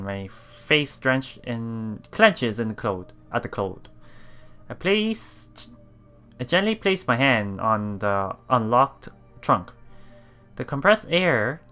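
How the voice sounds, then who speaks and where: A let's play streamer reading game narration aloud haltingly and adding casual commentary. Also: mid-range voice, bright, forceful tone.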